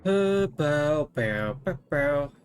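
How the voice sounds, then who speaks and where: Slow, offhand male game streamer. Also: a little high, lively intonation, smooth. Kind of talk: casual live game commentary.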